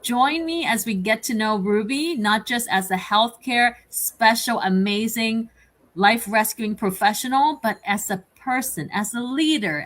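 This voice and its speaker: A woman from Canada hosting a live online interview, upbeat and repeating words for emphasis. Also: medium pitch. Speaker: host.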